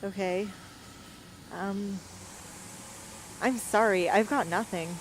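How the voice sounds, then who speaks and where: A woman's voice: medium pitch, slow, natural voice, poor audio. A woman playing a troubled, reluctant teenage rebel heroine in an amateur radio drama, hesitant and vulnerable. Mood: subdued, hesitant. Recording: faint background ambience noise.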